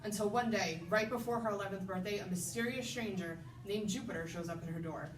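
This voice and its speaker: Woman, children's librarian, scripted book pitch, echoey room, distant microphone. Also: a little fast. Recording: roomy, distant mic, noisy background.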